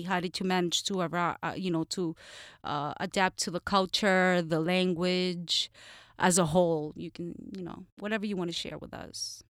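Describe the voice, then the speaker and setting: A woman's voice: lowish voice, a bit bright, smooth, dry recording, studio quality. A woman hosting an interview, asking questions in a friendly, upbeat way. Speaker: interview host.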